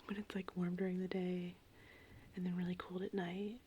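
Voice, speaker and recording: Woman whispering asmr, soft n creaky, mellow, just rambling along slowly, gentle rain n thunder behind her. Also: lowish voice, highs cut off, slight background noise. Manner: casual, meandering, unhurried. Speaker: ASMR host. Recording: steady rain and thunderstorm ambience.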